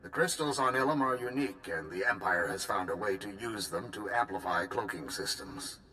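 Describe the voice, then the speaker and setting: A stern military commander briefs a hero with formal urgency. His voice sits low yet bright and forceful. A faint rumble hums behind him. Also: lively intonation, normal speed, poor audio. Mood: earnest. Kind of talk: video game cutscene acting.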